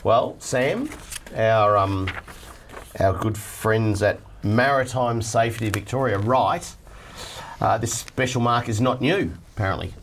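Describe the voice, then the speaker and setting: A man's voice: on the low side, a little slow, slight background noise. Australian man reading mail on air. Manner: reads letters aloud, chatty and joking. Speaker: host reading viewer mail. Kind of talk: casual studio chat. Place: TV studio set at a desk.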